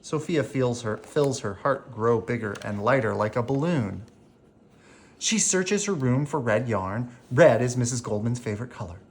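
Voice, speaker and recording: A storyteller reading a children's picture book aloud in a deliberate, animated way, as for bedtime. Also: medium pitch.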